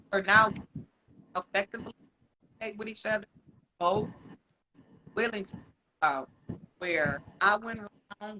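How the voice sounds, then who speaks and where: A man co-hosting a podcast in casual chat. He talks frankly and swears a lot, laughs often, and slows down to stress his points. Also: high voice, pushed and bright, slight background noise, poor audio. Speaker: podcast co-host.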